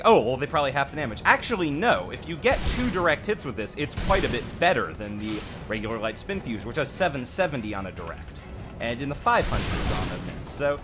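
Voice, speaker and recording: A man giving casual, conversational commentary on a game update as a host, offering his own opinions with some self-deprecation. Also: mid-range voice. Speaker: gaming channel host.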